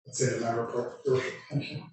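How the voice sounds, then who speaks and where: A man's voice: mid-range voice, little pitch movement, fast talker, darkish tone, a little hiss or hum. A city councillor, a man giving a brief, formal report. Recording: echoey room, far from the mic.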